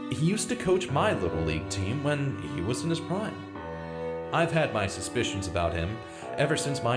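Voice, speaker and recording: A man acts a small-town investigative journalist in a vintage-style radio drama. He is earnest and confiding, with a dramatic, varied intonation over prominent music. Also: medium pitch. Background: prominent instrumental music.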